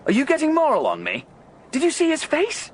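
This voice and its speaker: A man's voice: extremely high pitch, huge pitch swings, brisk, heavily acted. A man acting in a radio drama, playing a restless, thrill-seeking gambler who justifies himself intensely and intimately. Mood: goading, excited. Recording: clear background vehicle noise.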